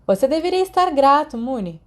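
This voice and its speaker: A woman's voice: sits high, very animated, brisk, pitched above the performer's own voice. A Brazilian woman voicing a cocky, theatrical teenage boy in a storybook reading. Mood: teasing.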